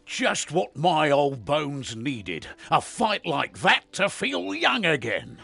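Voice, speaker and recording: Gruff, gravelly veteran warrior. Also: male voice, on the high side, very animated, takes it slowly, bright, forceful tone, soft background music, full character voice. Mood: grim. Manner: gruff, wary, intense. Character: weary veteran demon hunter, gruff amnesiac male warrior. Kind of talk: fantasy video game voice acting.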